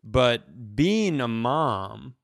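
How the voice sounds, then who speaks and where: A man's voice: mid-range voice, sing-song, extremely slow, gravelly, no echo, studio quality. A man co-hosting a comedy podcast, chatting casually in a rambling, bantering storyteller's way.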